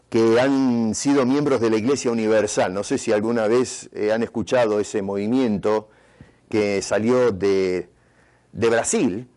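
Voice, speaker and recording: A man's voice: mid-range voice, darkish tone. A man with an Argentine Spanish accent preaching a sermon as pastor, earnest, asking the congregation rhetorical questions. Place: church retreat in Canada.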